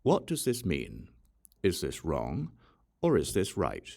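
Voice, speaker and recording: A man reading a religious text aloud as an audiobook, in a measured, solemn and emphatic way. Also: a bass voice, wildly swooping pitch, vocal fry, darkish tone. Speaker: audiobook reader.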